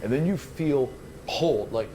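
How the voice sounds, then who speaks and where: Man, game master, conversational tabletop narration, middle pitch, clear background noise. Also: animated, moderate pace, dark tone, rough recording.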